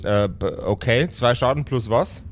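A man runs a tabletop game. He explains rules and describes scenes haltingly, often repeating himself, at a middling pitch. There is clear noise behind his voice. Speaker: game master. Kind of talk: improvised tabletop game narration.

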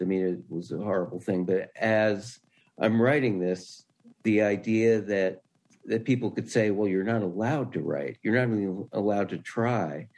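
A man's voice: on the low side, darkish tone. An older man, a writer being interviewed, talking casually and anecdotally. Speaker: interview guest, screenwriter.

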